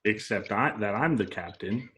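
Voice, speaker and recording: A man's voice: fairly low pitch, lively intonation, normal speed, bright, forceful tone. A man playing an indignant, insecure space outlaw captain, petulant and protesting, in an amateur film table read.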